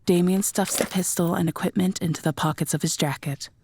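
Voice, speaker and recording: A woman's voice: fairly low pitch, steady tone, brisk, background noise. A woman reading scripted third-person narration for an audio drama.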